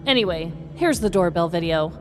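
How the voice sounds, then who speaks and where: A woman's voice: fairly low pitch, expressive, brisk, big-room sound, over music, close to an everyday voice. A woman playing a tired dog owner in a comedy sketch, exasperated and matter-of-fact.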